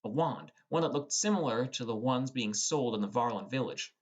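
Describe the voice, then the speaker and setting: A man's voice: mid-range voice, normal speed. A man reading first-person scripted narration of a fantasy story as an audiobook narrator.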